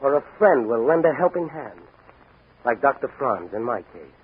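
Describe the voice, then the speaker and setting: Man playing a bold, lively young crime fighter. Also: medium pitch, normal speed, natural voice. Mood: earnest. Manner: confident, slangy, dramatic. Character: bold, wisecracking young policeman and masked crime-fighting hero. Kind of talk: 1940s radio acting. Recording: tinny, hissy 1940s radio recording.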